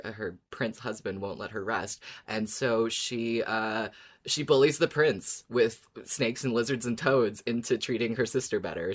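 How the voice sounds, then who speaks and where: Giggly woman podcaster telling stories. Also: very deep voice. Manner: giggly, conversational storytelling. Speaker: podcast co-host. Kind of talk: casual podcast chat, retelling stories.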